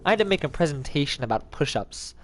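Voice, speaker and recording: A man's voice: fairly high pitch, big pitch swings, fast. A young man streamer chatting casually in a rambling, jokey way with lots of fillers. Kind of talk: casual chat.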